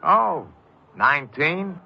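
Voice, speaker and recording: An actor playing a chummy, slyly flattering stranger in a 1950s radio drama, talking in ingratiating street slang. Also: male voice, on the high side, takes it slowly, creaky, slightly rough, heavily acted. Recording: muffled old radio broadcast.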